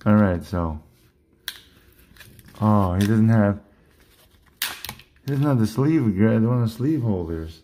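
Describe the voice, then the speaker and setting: A man talks loosely through an unboxing at his desk, restarting sentences as he goes. His voice sits a little low and is worn, as if he is losing it. He sounds like a hobby channel host chatting to his viewers. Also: expressive, takes it slowly, pauses often, mellow. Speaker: card collecting channel host. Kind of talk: casual unboxing vlog. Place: indoor collection room at a desk.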